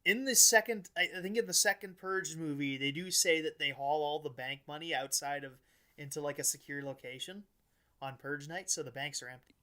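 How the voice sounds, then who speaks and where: Guy podcast cohost, bright sharp voice, bit on the high side, just chatting casually. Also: fairly quick. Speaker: podcast co-host. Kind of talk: casual conversational chat.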